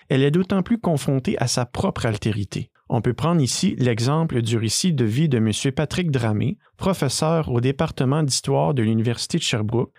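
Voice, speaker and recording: Dry-voiced podcast host. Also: male voice, mid-range voice, darkish tone, dry recording. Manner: measured, read-aloud presentation. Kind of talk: scripted narration.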